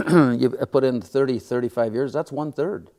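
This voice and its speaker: An older man with a Midwestern American accent, talking modestly and in wordy, measured phrases as an interview guest. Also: mid-range voice, darkish tone. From small-town upper Midwest, United States. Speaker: radio play-by-play broadcaster, interview guest. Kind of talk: casual interview chat.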